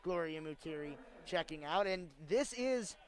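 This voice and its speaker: A woman's voice: fairly low pitch, animated, a little slow, crowd noise. A young woman giving live color analysis during a radio broadcast of a volleyball match. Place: sports arena broadcast.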